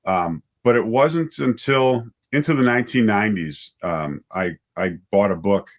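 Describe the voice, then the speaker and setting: Low-voiced man, halting storyteller. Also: animated. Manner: halting, with repeated words and self-corrections. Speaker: writer and director, interview guest. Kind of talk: conversational interview storytelling.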